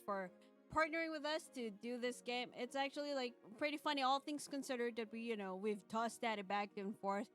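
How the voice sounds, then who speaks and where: Highish-voiced rambling woman streamer. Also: a bit bright. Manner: rambling, hesitant, jokey. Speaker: livestream host. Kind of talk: casual livestream chat.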